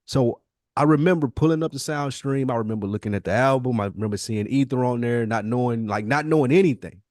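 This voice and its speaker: A man's voice: mid-range voice, fast talker, a little mellow, dry recording, studio quality. A man co-hosting a podcast, debating and telling stories about hip-hop in an emphatic, slangy, casual way, often repeating himself for emphasis. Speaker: podcast co-host.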